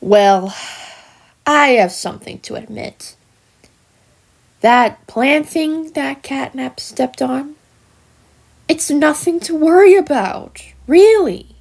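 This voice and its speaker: A smug, jealous cartoon critter villainess, speaks in a high voice that swings widely up and down. She takes her time, drawing words out slowly with theatrical, mock-sweet menace. Her manner is taunting and sinister, as in a fan-made animated monologue. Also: creaky, full character voice. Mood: falsely reassuring.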